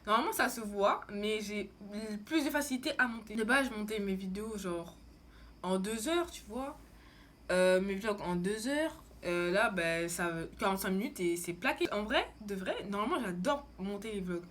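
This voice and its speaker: A woman's voice: mid-range voice, lively intonation, normal speed, a bit bright. Casual young woman vlogger, slightly noisy recording. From France. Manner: informal, slangy, rambling. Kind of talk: casual chat to camera.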